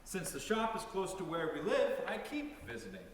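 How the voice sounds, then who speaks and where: A man with a slightly high voice reads a story aloud in the first person. The sound is heavily echoed and distant, with faint noise in the background. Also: sing-song, normal speed. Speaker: reader in a dramatic reading.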